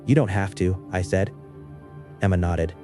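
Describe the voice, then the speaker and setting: Bright-voiced man narrating an eerie story. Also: low-pitched, moderate pace, soft background music. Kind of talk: scripted first-person horror story narration.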